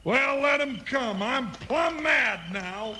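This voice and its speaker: An actor playing a folksy, excitable, grumbling cowboy sidekick with a Western drawl in a 1950s radio play. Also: male voice, extremely high pitch, takes it slowly, heavily acted. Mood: folksy indignation. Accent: American Western drawl. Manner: folksy, exclamatory, complaining. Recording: noisy old radio recording, long reverb.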